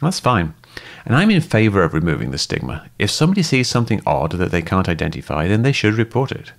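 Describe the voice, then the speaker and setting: A man giving calm, methodical scripted narration that explains things step by step. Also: low-pitched, fast, gravelly. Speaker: host and debunker.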